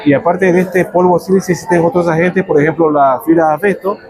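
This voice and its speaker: A man from Ecuador, a researcher who explains his work in a measured way, with some fillers. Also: on the high side, steady tone, darkish tone. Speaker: researcher, guest. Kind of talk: explanatory interview answers. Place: café during a power cut. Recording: crowd chatter behind.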